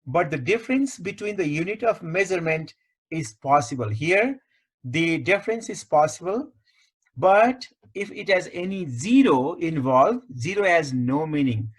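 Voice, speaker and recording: A man with a fairly high voice teaches an online class. He explains in short, segmented phrases, deliberately restating each point as a tutor would. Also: expressive, husky. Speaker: statistics tutor, lecturer. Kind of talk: explanatory online lecture over slides.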